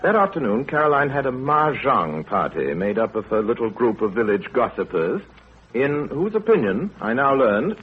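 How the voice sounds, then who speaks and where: Pompous, sardonic doctor on tinny radio. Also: male voice, medium pitch, slightly rough. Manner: sardonic, clipped, haughty. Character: dry, pompous, irritable English village doctor, middle-aged man. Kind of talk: 1930s radio acting. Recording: tinny, narrow 1930s radio broadcast.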